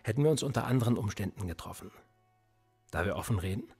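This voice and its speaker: A sardonic cop grilling a suspect in a radio play, lowish raspy voice, controlled. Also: male voice, animated, warm tone, faint music behind, exaggerated voice. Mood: dryly ironic. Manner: deliberate, probing, dryly ironic. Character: probing, sardonic male police interrogator, needling and controlled. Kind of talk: naturalistic German radio drama dialogue.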